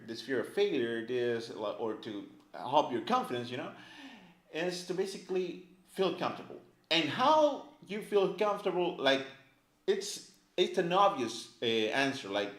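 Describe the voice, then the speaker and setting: A chatty male teacher explains things in a lively, bouncing voice that sits a little high. He keeps checking in with "right?" and leans on "like". The room around him is echoey. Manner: animated, chatty, many fillers like "right?" and "like". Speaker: language teacher. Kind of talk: casual explanatory lesson to camera. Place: indoor room, filmed by a camera operator.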